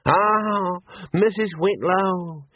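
A man's voice: mid-range voice, expressive, extremely slow, husky, dry recording, phone-quality sound, exaggerated voice. A man voicing a nervous, fussy old wizard academic who muses hesitantly, in a comic fantasy audiobook. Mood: anxious politeness.

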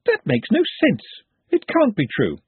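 A fussy young wizard scholar, as a narrator puts him on, stammering and hesitating, pitched well above the reader. The voice is husky and animated. It sounds tinny and dry, like a phone line. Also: male voice, measured pace, no room sound, heavily acted. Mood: flustered.

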